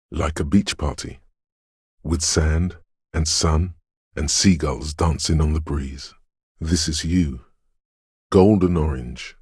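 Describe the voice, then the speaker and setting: An actor playing a cryptic, dreamy inner voice of intuition in a video game, theatrical and ominous, with a gravelly hushed rasp and deliberate dramatic pauses. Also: male voice, very deep voice, dry recording, studio quality, exaggerated voice. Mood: dreamy, musing. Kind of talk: theatrical video game narration, second-person poetic musing.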